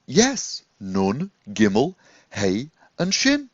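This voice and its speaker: A man's voice: mid-range voice, wildly swooping pitch, drawn-out words, no echo, muffled recording, pitched above the performer's own voice, heavily acted. A man voicing a curious, polite and earnest magical scarecrow in a children's storybook, with a wondering manner. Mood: curious, questioning.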